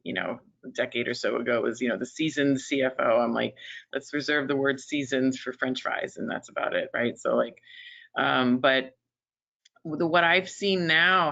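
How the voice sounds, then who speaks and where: A woman's voice: very deep voice, creaky, a bit bright, muffled recording. A woman in her fifties who runs a resume writing business, chatting as a panelist in a webinar with lots of fillers and restarts. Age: 50s. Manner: chatty, many fillers and restarts, quick to laugh. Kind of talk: conversational webinar discussion.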